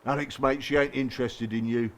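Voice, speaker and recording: A man's voice: medium pitch, fairly even intonation, brisk, close to an everyday voice. An actor playing a blunt, streetwise man in a radio drama, pressing his point with sardonic, colloquial urgency. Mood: urgent, exasperated. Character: blunt, streetwise man urging an abuse victim to leave. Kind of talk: naturalistic radio drama acting.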